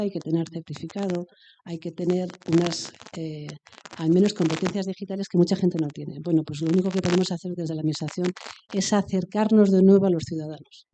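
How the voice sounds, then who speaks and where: A woman's voice: lowish voice, dark tone, slight background noise. A woman from Spain, a municipal treasurer, giving off-the-cuff panel remarks, hesitant at first and then earnest and emphatic. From Aranda de Duero, Spain.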